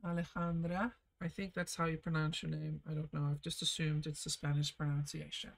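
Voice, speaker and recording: A woman leading a casual live painting lesson, reading viewer comments and chatting warmly as she paints, often laughing softly and trailing off mid-sentence. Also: sits low, flat delivery, fairly quick, creaky. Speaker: art teacher streaming a painting session.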